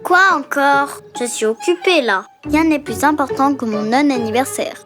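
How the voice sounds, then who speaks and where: A woman's voice: high-pitched, normal speed, lightly acted. A woman voicing a self-absorbed, bossy little party-loving girl in a French-dubbed children's cartoon, exclaiming a lot. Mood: indignant, bossy. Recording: loud children's music behind.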